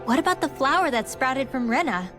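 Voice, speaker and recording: A woman's voice: sits high, sing-song, a little fast, over music. A woman voicing an earnest, inquisitive young girl in a video game. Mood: startled, questioning. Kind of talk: scripted video game voice acting.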